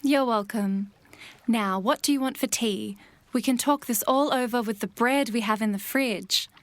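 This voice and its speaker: A woman playing a caring, supportive bird partner in an audio drama, with a gentle, reassuring and natural delivery. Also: a little high, moderate pace, dry recording, close to an everyday voice. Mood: encouraging.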